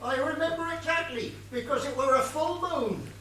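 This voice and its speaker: A high-voiced, muddled gardener played by a stage actor in a comic play. The character stammers and rambles earnestly, ever deferential and literal. The sound is very echoey and far from the mic, with faint noise. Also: moderate pace, a bit bright. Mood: eager, earnest. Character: rambling, muddled, literal-minded estate gardener being questioned. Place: stage of a small theatre. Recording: distant echoey theatre recording, faint noise.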